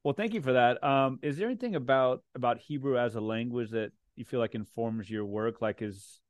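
A man's voice: mid-range voice, brisk, no echo, highs cut off. A man hosting a podcast interview, asking long, loose questions full of likes and you-knows. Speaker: podcast host. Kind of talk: casual interview conversation.

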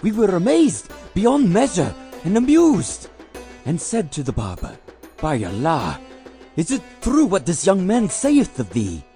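A man's voice: high-pitched, wildly swooping pitch, measured pace, mellow, faint music behind, heavily acted. Man playing a theatrical, swooping tailor storyteller. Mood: amazed. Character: male tailor, amazed storyteller recounting events. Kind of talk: audio drama narration.

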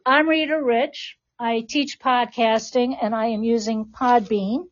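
Female podcast host and teacher, reassuring, higher-pitched, captured in muffled lo-fi sound. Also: fairly even intonation, a little slow. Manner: encouraging, reassuring prompts. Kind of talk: live podcast hosting, addressing a class. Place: classroom with about twenty people.

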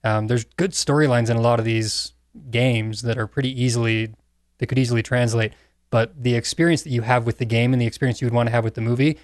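A man with an average-pitched voice talks casually as a podcast panelist. He explains his thoughts in a measured, reflective way. The recording is dry and free of room sound. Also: steady tone, no room sound. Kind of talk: casual film and game discussion.

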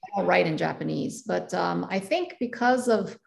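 A woman, a jazz singer, talking thoughtfully in a casual interview, pausing often to find her words. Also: lowish voice, expressive, gravelly, darkish tone. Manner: thoughtful, many fillers and restarts. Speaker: jazz vocalist guest.

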